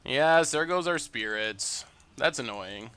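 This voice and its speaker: A man's voice: mid-range voice, lively intonation, takes it slowly, sharp tone, smooth, faint music behind. A man streaming a card game, narrating his plays and chatting with viewers in an upbeat, conversational way. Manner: upbeat, chatty, reads and answers viewer messages. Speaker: streamer and gameplay commentator. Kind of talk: live-stream gameplay commentary with chat replies.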